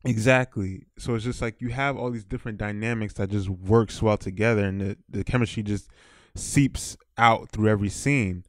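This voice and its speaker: Lower-voiced man chatting on a podcast. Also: fairly even intonation, normal speed, no echo, studio quality. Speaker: podcast co-host. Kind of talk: casual opinionated chat.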